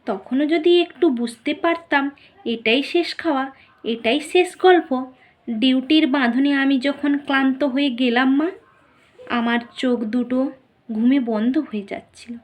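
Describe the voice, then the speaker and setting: A young woman voicing the grieving ghost of a murdered young woman doctor, pleading tearfully with her mother in a slow, dramatic monologue that sometimes drops into whispers. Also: high voice. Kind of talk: dramatic first-person monologue.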